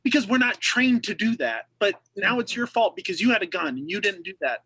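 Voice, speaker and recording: A man's voice: high-pitched, sing-song, fairly quick, bright, forceful tone, husky, no room sound. A man who works as a teacher, speaking bluntly and heatedly in a group discussion. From Nebraska, US.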